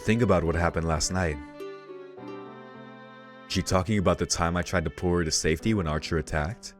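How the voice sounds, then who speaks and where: A man's voice: low-pitched, steady tone, fairly quick. A man reading a visual novel aloud as a let's play host, with casual reactions and game music behind him. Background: visual novel soundtrack.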